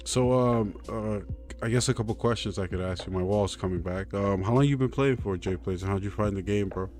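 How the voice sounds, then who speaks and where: A man's voice: lowish voice, brisk, darkish tone, soft background music. A man hosting a live gaming stream, chatting in a casual, laid-back way. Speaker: stream host.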